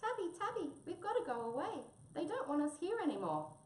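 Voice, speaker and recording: Tiny gentle mouse, played by a woman, very high sweet quavering voice, timid and kind, children's storybook, roomy echo. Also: very animated, a little fast, far from the mic. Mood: anxious. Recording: roomy echo, faint background noise.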